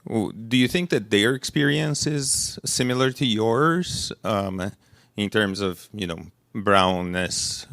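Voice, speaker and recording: A man from Brazil in the audience asking a question into a handheld microphone, polite and halting. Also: lowish voice, vocal fry, fairly bright tone, no echo, studio quality.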